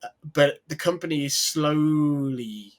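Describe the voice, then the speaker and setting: Man, video call chat, rambling, enthusiastic, slightly higher voice. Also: a little slow. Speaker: games journalist, panelist.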